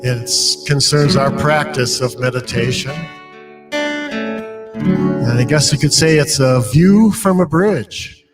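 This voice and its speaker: High-voiced man talking over loud guitar. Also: big pitch swings, takes it slowly, pauses often, far from the mic. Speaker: guest musician. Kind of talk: spoken introduction of his own song.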